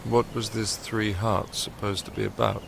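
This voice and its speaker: Young educated Englishman, low pitch, creaky edge, wry, wary, probing, radio drama, faint background noise. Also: little pitch movement, normal speed. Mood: sceptical, probing. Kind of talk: naturalistic radio drama dialogue.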